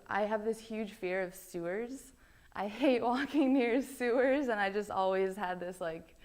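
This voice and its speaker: A woman's voice: fairly low pitch, clean tone. A young woman, a college student, introducing herself casually with lots of ums and likes. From Belgium. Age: young adult. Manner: chatty, many ums and likes.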